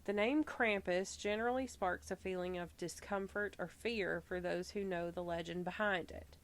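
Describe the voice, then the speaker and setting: A woman with a Southern US accent hosting a podcast. She reads from her researched notes and breaks off for relaxed, chatty asides, stumbling now and then and laughing at herself. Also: lowish voice, moderate pace. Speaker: podcast host.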